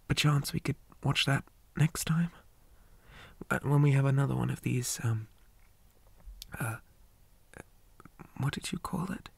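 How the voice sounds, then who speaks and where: Man acting shy zombie boy, breathy and soft. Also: medium pitch, no echo, exaggerated voice. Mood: flustered. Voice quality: soft, breathy, often whispered. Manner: flustered, hesitant, stammering, old-fashioned politeness. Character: shy, polite undead zombie boy on a date. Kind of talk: ASMR roleplay.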